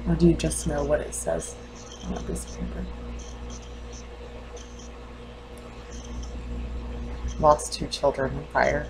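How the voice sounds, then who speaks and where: A woman playing a grieving, defensive mother in a dramatic monologue, halting and intense. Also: sits low, fairly even intonation, background noise. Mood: guarded, challenging. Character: grieving, defensive mother confronting her doctor.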